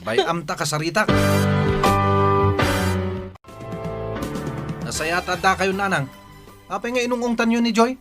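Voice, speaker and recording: A man's voice: sits high, brisk, loud music behind the voice, natural voice. A man with an Ilocano accent playing a defensive, grumbling young husband in a radio drama. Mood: defiant.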